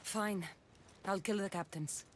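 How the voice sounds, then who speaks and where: A woman's voice: medium pitch, takes it slowly, a little hiss or hum, natural voice. An actress playing a tough, skeptical female mercenary in a video game cutscene, speaking in a blunt, dry, self-assured way. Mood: dry, resolute. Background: music.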